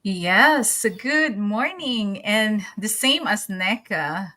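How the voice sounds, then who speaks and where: Bright-voiced middle-aged Filipino woman. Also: mid-range voice, expressive, drawn-out words. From Philippines. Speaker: panelist, nurse. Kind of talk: self-introduction on an online panel.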